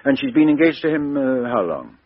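An actor playing a methodical middle-aged police inspector, asking businesslike questions in a 1950s radio drama. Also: male voice, mid-range voice, very animated, a little fast, no echo. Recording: dull 1950s radio recording.

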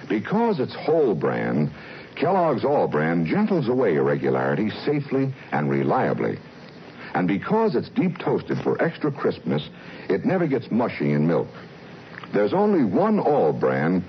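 A male announcer delivers a vintage radio commercial in a deep voice with dramatic rises and falls. The recording is muffled and old, with faint noise. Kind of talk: 1950s-60s scripted radio ad read.

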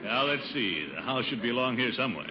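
An actor playing a pompous, smitten middle-aged uncle who chuckles often, in 1950s radio sitcom style. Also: male voice, medium pitch, big pitch swings, quick pace, close to an everyday voice. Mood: jovial, distracted. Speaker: lead comic actor. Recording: noisy, muffled 1950s radio recording.

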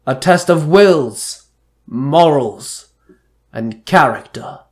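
Solemn male spirit god, ancient, commanding, slow portentous pronouncements, storybook figure acted by a male reader. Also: a little high, expressive. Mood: grave, commanding. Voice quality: bellowing. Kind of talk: amateur fan-fiction story reading.

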